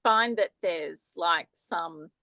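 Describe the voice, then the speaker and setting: A woman's voice: lowish voice, slow pace, vocal fry, pushed and bright, dry recording. A woman chatting casually with a group on a video call.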